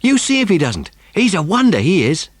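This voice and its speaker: Eager boy sidekick, acted by a man, voice pushed higher, admiring and emphatic, crowing, for an audiobook drama. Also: wildly swooping pitch, normal speed, no echo, heavily acted.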